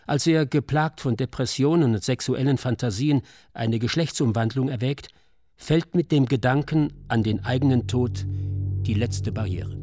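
Male documentary narrator: mellow, mid-pitched, solemn literary reading, dry studio-like sound. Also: normal speed, no room sound, faint music behind. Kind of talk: scripted documentary narration for radio.

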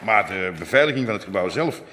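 An actor with a Flemish accent playing a formal, measured, somewhat evasive museum curator in an audio drama. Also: male voice, on the low side, expressive, pushed and bright. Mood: defensive. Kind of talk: naturalistic audio drama acting. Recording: echoing hall, background noise.

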